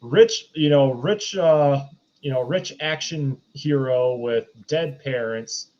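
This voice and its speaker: A man's voice: medium pitch, takes it slowly, darkish tone. A man with a mid-range voice talks as a panelist in a casual review discussion. His audio is a little dull and lo-fi. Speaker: podcast panelist. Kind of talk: casual movie review chat. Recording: slightly dull, lo-fi mic sound.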